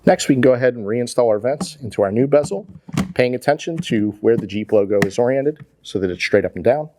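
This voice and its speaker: A man's voice: medium pitch, animated, a little fast, very noisy. An American man in his forties giving a casual, plain-spoken step-by-step tutorial as an installation instructor.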